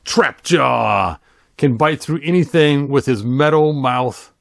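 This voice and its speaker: A man's voice: medium pitch, takes it slowly, creaky, dry recording. A man voicing a boastful, scheming undead villain from a storybook in a grandiose, declaiming manner.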